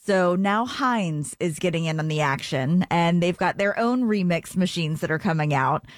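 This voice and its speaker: A woman's voice: on the low side, expressive, normal speed, no echo. A young woman co-hosting morning radio, reading entertainment and lifestyle news in an upbeat, chatty way. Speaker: pop culture co-host.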